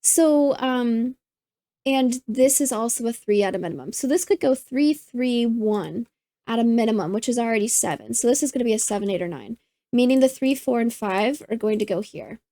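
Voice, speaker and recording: A woman hosting a puzzle channel, slightly hoarse as she loses her voice, thinking aloud and easily delighted while she solves. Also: a little high, lively intonation, moderate pace, warm tone, no echo.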